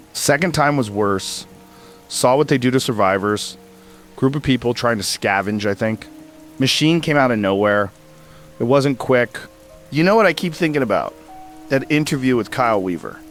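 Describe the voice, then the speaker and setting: A man plays a lone male survivor of the end of the world, once a radio host. He talks in a weary, resigned, halting way, as in a bleak audio drama. Soft music and rain sit under his voice. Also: medium pitch, lightly acted. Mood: brooding, halting. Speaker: former show host recording a survivor's log.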